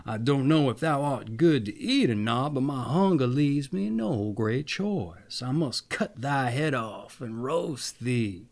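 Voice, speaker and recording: A man's voice: medium pitch, animated, takes it slowly, creaky, hardly any pauses, a little mellow, full character voice. A man voicing a good-tempered, hungry little tailor in exclamatory, old-fashioned storybook speech. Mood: bold, hungry.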